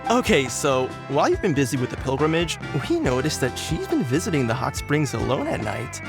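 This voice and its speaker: A man's voice: a little high, wildly swooping pitch, brisk, creaky, music in the background, exaggerated voice. A man playing an eager, friendly young villager in a video game, earnest and chatty. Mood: excited, confiding. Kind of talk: English video game voice acting.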